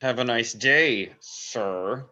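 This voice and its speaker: An actor playing a hot-tempered older investigator, aggrieved and grumbling, in a screenplay table read. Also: male voice, medium pitch, drawn-out words, sharp tone, dull audio, full character voice. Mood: curt.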